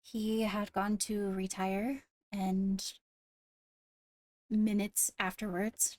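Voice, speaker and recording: A woman playing a composed court leader in a Minecraft roleplay, speaking formally and haltingly as she gives a briefing. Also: medium pitch, creaky, darkish tone, no room sound. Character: measured female court leader briefing on attacks. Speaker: roleplayer leading a court meeting.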